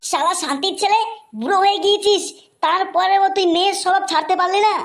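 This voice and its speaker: A woman's voice: extremely high pitch, fast, creaky. A woman voicing a gossipy, reproachful young daughter-in-law in a comic cartoon.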